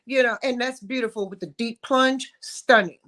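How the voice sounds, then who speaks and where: A woman's voice: a little high, normal speed, fairly bright tone. A woman hosting an interview, full of effusive praise and repeating "you know" as she compliments her guest. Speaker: show host.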